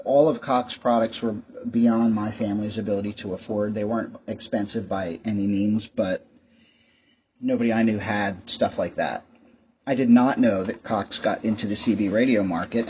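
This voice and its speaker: A man talks casually through a product on his video channel, in a rambling and resigned tone with dry humor. His voice sits a little low. The recording is dull, with noticeable noise behind him. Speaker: YouTube host. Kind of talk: casual product show-and-tell vlog. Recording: muffled lo-fi mic with clear background noise.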